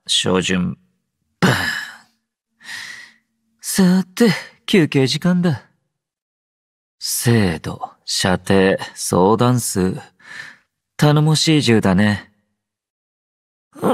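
Slow, drowsy young android soldier. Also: male voice, mid-range voice, very animated, no room sound, studio quality, full character voice. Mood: calm, languid, then sleepy, reluctant. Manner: languid, understated, sleepy delivery. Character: drowsy, low-energy young male android soldier, aloof yet gentle. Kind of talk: Japanese anime game character voice lines.

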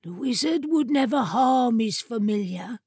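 Woman voicing a mournful, slow-talking grand old armchair. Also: fairly high pitch, lively intonation, dark tone, slightly rough, no room sound, clean studio sound, heavily acted. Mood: sleepy, aloof. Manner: lugubrious, slow, drawn-out complaint. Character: mournful, self-important talking armchair, sleepy and aggrieved.

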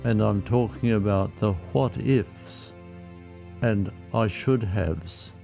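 A man leading a guided meditation in a measured, reflective manner with frequent pauses. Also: fairly low pitch, creaky, mellow, soft background music.

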